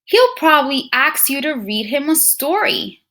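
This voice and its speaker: Expressive, slow-paced woman reading to children. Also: high voice. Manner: expressive, addresses children directly with frequent questions and musing hums. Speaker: teacher reading a picture book aloud to young pupils. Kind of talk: children's read-aloud with questions to the listeners.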